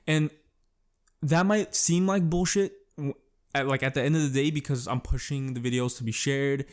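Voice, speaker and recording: A young man at a medium pitch talks on his own in a loose, rambling podcast monologue. He is self-conscious and swears freely as his thoughts wander and double back. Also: fairly even intonation, normal speed. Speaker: podcast host. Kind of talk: casual solo podcast monologue.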